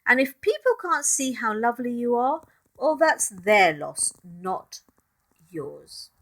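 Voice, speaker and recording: A kind storybook lunchtime lady, acted out by a woman reader, speaks in a slightly raised voice. Her pitch swings expressively as she soothes and encourages a child. She is affectionate and protective. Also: sing-song, slow, a bit bright, heavily acted. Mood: gently cross.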